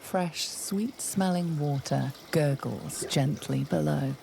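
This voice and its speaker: An actress playing a grave, measured storyteller, narrating a fantasy video game in the second person. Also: female voice, deep voice, gravelly, background noise, exaggerated voice.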